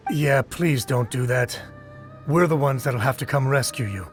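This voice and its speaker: A man's voice: mid-range voice, unhurried, close to an everyday voice. A man playing a dutiful, no-nonsense soldier captain in a video game, speaking in a matter-of-fact, businesslike way. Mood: dry, wary. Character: dutiful, no-nonsense male acting army captain. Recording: music behind the voice.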